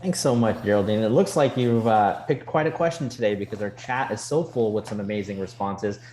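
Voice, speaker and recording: A man's voice: fairly low pitch, fairly quick, darkish tone. A man co-hosting a video call, talking in an enthusiastic, friendly way. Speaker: co-host, program director. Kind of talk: upbeat webinar hosting.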